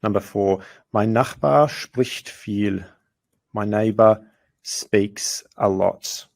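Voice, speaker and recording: Man, language teacher, low voice, gravelly, patient grammar explanation. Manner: patient, clear, slows down for foreign phrases. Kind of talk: explaining grammar with example sentences.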